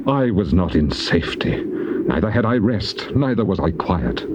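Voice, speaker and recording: A suffering, pious patriarch in a scripture audio drama. His British delivery is declamatory and mournful, rising and falling widely, on a muffled tape with clear hiss. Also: deep voice, big pitch swings, moderate pace. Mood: anguished. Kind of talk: dramatized scripture reading, British stage acting. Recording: dull hissy cassette tape.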